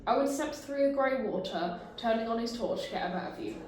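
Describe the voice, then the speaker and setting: A woman's voice: fairly high pitch, lively intonation, brisk, heavy reverb, noisy background. A woman reading narration and stage directions at a table read.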